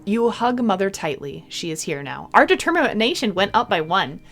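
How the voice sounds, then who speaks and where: A woman reading a visual novel aloud in an expressive storybook style, with casual playful commentary. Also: medium pitch, moderate pace. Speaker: video game streamer. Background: game music.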